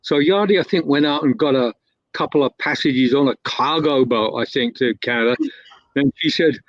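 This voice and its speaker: A man's voice: medium pitch, normal speed, no echo. A man telling a long anecdote in a casual, rambling way, full of fillers and restarts. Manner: rambling, with many fillers and self-corrections. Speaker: guest storyteller. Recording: dull, lo-fi, dry sound.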